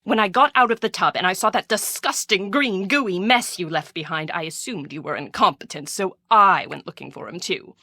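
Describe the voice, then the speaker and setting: A woman's voice: on the low side, normal speed, few pauses, pushed and bright, no echo, studio quality. An actress in a video game playing a pampered, imperious rich woman who dotes on her little dog, speaking theatrically and giving orders. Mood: haughty, commanding. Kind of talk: scripted video game voice acting.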